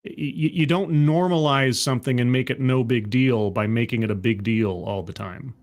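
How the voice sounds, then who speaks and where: A man talks over a replayed panel in a sardonic, rambling way, often restarting his sentences. His pitch sits in the middle of the range. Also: normal speed. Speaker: streamer commenting on a video. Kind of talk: casual reaction commentary.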